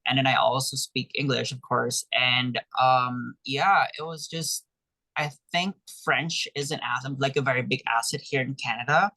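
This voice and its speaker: A man whose first language is Tagalog talks with a bright, forward tone. He gives short, easygoing answers as an airline ground agent over a video call. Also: mid-range voice, normal speed, poor audio. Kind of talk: casual panel talk.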